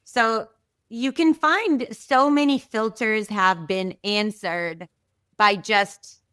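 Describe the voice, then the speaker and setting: A woman guest telling a story in a casual, animated way, full of fillers and asides, from Israel. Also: medium pitch, takes it slowly, clear, resonant tone, no room sound, studio quality. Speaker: podcast guest.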